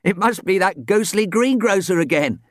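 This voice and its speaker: A man voicing an excitable, cheeky boy footballer who talks in an excited, boastful way. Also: sits high, moderate pace, no room sound, clean studio sound, pitched above the performer's own voice.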